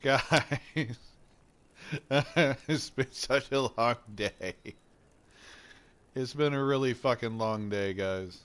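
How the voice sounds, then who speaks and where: A man's voice: mid-range voice, drawn-out words, pauses often, a bit bright, clean tone. A young man with a head cold, chatting casually and swearing as he introduces a game playthrough. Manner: laid-back, rambling, swears casually. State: stuffy, has a head cold. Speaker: gameplay streamer. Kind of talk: casual gaming intro chat.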